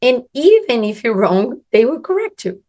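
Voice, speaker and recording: A woman's voice: fairly high pitch, big pitch swings, normal speed, a little mellow. A woman from Brazil with a Brazilian Portuguese accent, a business coach giving an earnest, emphatic instructional talk in English as a second language. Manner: earnest, emphatic, non-native grammar.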